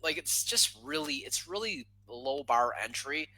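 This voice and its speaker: Bright-voiced man in his thirties, rambling. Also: on the high side, unhurried. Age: 30s. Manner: rambling with many restarts, animated. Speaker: podcast guest. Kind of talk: casual panel discussion.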